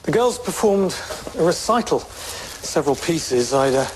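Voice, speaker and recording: An actor with an English accent playing a polite music teacher, speaking formally and carefully in an audio drama. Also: male voice, fairly high pitch, expressive, measured pace, noisy background. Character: polite, measured male school music teacher. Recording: faint background ambience.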